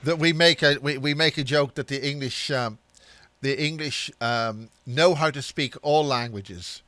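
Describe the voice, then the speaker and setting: A man's voice: medium pitch, unhurried, sharp tone. A middle-aged man with a Belfast accent chatting casually over a video call, rambling and poking fun at himself. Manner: joking, rambling, self-mocking. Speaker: host.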